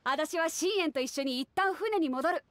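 A woman's voice: high-pitched, moderate pace, fairly bright tone, a little hiss or hum. A woman voicing a bold, confident female sea captain in a Japanese anime game, brusque and self-assured. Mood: approving.

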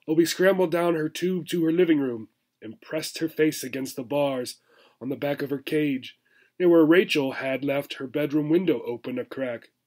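A man, reading a children's book aloud in expressive narration. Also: on the high side, normal speed. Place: small furnished room indoors.

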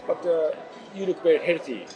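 Low-pitched halting woman interviewer. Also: animated, dark tone, lo-fi sound. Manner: halting, simple English. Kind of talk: casual interview with short responses. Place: spacious hotel lounge restaurant, indoors. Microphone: off camera behind phone. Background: background music.

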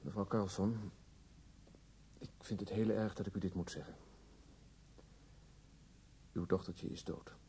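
An actor in a radio drama playing a weary, reluctant police detective, grave and plain-spoken. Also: male voice, sits low, fairly even intonation, unhurried, pauses often, mellow. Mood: grave, consoling. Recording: faintly noisy old radio play.

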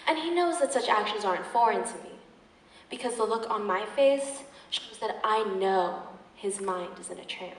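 A young woman reciting slam poetry on stage with a fierce, emphatic, rhythmic delivery and dramatic pauses. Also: medium pitch, animated, a little hiss or hum. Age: young adult. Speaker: slam poet performer. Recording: echoey room, distant microphone.

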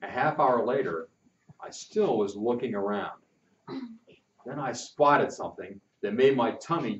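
Older storyteller, folksy, lively pitch, recorded from afar in an echoey classroom with a muffled sound. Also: male voice. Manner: folksy first-person narration. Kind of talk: reading a children's book aloud. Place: classroom with posters, indoors. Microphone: far camera. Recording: roomy and distant, muffled.

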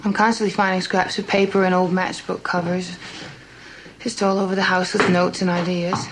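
An actress playing the troubled, guarded wife of a missing writer in a TV mystery, speaking in a measured, thoughtful way. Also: female voice, fairly low pitch, little pitch movement, close to an everyday voice. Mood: reflective. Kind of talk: television mystery drama acting. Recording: noisy old TV soundtrack.

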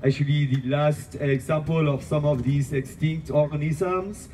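A young man chatting casually in a halting, non-native sounding way, as a street performer selling wares. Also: fairly high pitch, fairly even intonation, slight background noise. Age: young adult. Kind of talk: casual chat with customers. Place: outdoor street block party. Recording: loud music and crowd outdoors. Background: music, crowd chatter.

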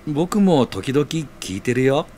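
Man acting mild older-brother figure, unhurried, expressive pitch, considerate, hesitant, audio drama, faint noise. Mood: gentle, thoughtful.

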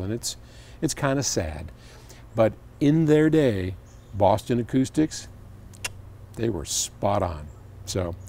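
A man's voice: lowish voice, gravelly, warm tone. An older man talking casually to the camera outdoors, answering a viewer's question in a chatty, rambling way with lots of fillers and restarts. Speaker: audio company founder answering viewer questions. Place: outdoors on stone steps by a garden.

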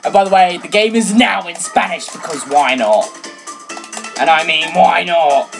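A teenage girl whose first language is French talks through a video game in a chatty, rambling way, now and then breaking into excited shouts. Her voice sits a little low for a girl. Loud game music plays underneath her the whole time. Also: measured pace, gravelly. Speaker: let's play gamer. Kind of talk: casual game commentary.

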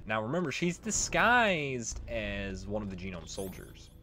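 A man's voice: fairly low pitch, sing-song, measured pace, pushed and bright. A man giving casual, informal let's play commentary as the host of a gaming video.

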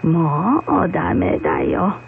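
Woman playing slow-spoken, frank old woman healer. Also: sits low, wildly swooping pitch, vocal fry, exaggerated voice. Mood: reminiscing. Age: older. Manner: unhurried, gently admonishing. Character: kindly, frank old blind woman acupuncturist. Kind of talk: radio drama dialogue. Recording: muffled radio recording with hum, faint noise.

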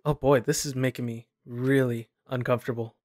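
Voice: mid-range voice, fairly even intonation, fairly quick, no room sound, studio quality. A gamer giving casual, self-deprecating playthrough commentary and reading on-screen game text aloud. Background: loud game music.

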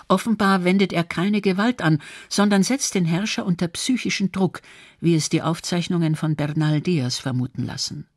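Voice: medium pitch, normal speed, no echo, clean studio sound. A narrator reading a scripted historical documentary.

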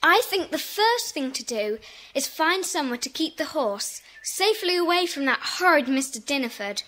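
A woman's voice: high-pitched, sing-song, normal speed, pushed and bright, slight background noise, heavily acted. A woman playing an eager, emphatic schoolchild in a children's audio drama. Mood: determined. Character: eager, indignant, kind schoolchild.

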